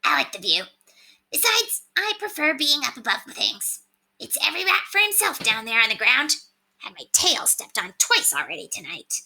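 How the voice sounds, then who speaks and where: A woman's voice: high-pitched, huge pitch swings, moderate pace, pushed and bright, voice pushed up, exaggerated voice, low-quality recording. A woman voicing a chatty, cynical small male rat in a storybook, grumbling and informal.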